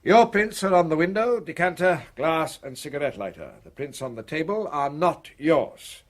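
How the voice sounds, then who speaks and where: A man playing an unflappable British police inspector in a radio play, courteous and measured as he probes. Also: on the high side, lively intonation, natural voice. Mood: brisk, probing. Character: unflappable, politely probing male police inspector.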